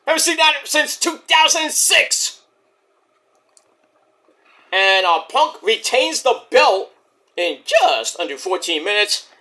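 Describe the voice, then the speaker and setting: A man with a high, bright voice talks loosely through a wrestling recap. He rambles, swears freely and jumps into excited bursts. A sore throat is wearing his voice down. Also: takes it slowly, long pauses. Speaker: wrestling show reviewer. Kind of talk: casual spoken review.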